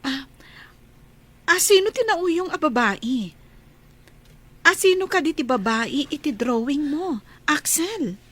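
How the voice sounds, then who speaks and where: An actress playing a gentle, patient woman who coaxes a child to talk and murmurs her thoughts aloud. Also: high voice, expressive, takes it slowly, pauses often, full character voice. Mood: coaxing. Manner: soft, coaxing, often whispered asides.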